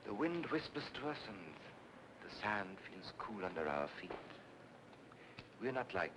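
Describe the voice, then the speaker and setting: An actor playing a suave, mysterious psychic in 1940s film noir style, with a measured, theatrical, insinuating manner. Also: male voice, very animated. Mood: seductive, musing. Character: suave, mysterious male psychic consultant, softly seductive and insinuating. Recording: noisy old film soundtrack with rumble.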